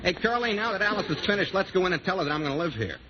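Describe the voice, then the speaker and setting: Noisy old radio, fast-talking sardonic American sidekick. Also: male voice, high-pitched, expressive. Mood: breezy. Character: freeloading wisecracking bachelor buddy, sardonic, easygoing. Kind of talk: 1940s American radio sitcom acting. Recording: noisy, dull 1940s radio recording.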